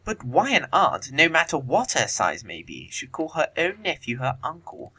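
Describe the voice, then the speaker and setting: A man acting a witty, teasing young English gentleman in an audio play of a period comedy, with an arch, theatrical delivery. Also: a little high, sing-song, normal speed, slightly rough, full character voice. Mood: triumphant, teasing.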